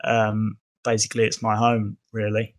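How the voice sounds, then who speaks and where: A man's voice: lowish voice, monotone, moderate pace. A young man from England, a podcast guest, talking casually and hesitantly with many ums and restarts. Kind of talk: casual conversation.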